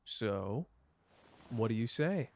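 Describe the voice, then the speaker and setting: A man doing a slow, flirtatious charmer. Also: medium pitch, lively intonation, darkish tone.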